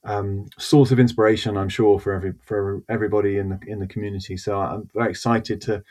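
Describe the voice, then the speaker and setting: A man's voice: on the low side, level tone, fast, darkish tone. A man hosting a podcast, joking with his co-hosts and often restarting his sentences. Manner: jokey, hesitant, many restarts. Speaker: podcast host. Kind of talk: casual podcast chat.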